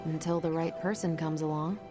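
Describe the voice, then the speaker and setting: An actress playing a young woman hero in a video game, speaking in a dry, measured way. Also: sits low, fairly even intonation, music in the background, natural voice. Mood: wry. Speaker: game character. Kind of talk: video game cutscene acting.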